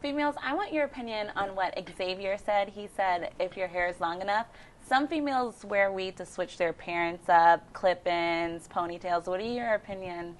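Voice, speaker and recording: A woman's voice: mid-range voice, moderate pace. A woman hosting and moderating a casual group discussion, putting questions to guests. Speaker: host. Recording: noticeable background noise, room ambience.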